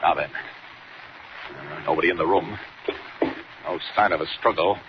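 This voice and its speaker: An actor playing a brave, determined superhero in a 1940s radio serial. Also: male voice, a bass voice, measured pace. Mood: urgent, alarmed. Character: brave forceful male superhero, urgent and determined. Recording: muffled, very noisy old radio recording.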